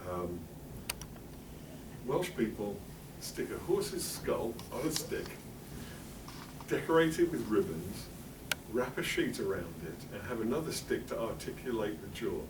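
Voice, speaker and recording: A man's voice: lowish voice, animated, a little slow, long pauses, noisy background. A middle-aged English man giving a chatty, wry talk in a library, full of fillers and asides. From England. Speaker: presenter. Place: public library meeting room. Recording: roomy hall, speaker far from mic.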